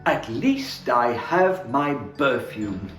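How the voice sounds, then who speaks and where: A man's voice: mid-range voice, big pitch swings, slow, fairly bright tone. A man voicing a fussy, kind-hearted female storybook mouse who exclaims and frets in a motherly way. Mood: cheerful. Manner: exclamatory, fretting. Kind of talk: storybook character voice. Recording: roomy echo, faint music.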